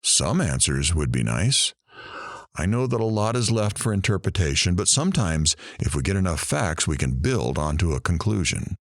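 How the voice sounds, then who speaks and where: A man's voice: low-pitched, sing-song, moderate pace, dry recording, exaggerated voice. A man playing a wry radio news host and interviewer in an audio drama, with a dry sense of humour. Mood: inquiring.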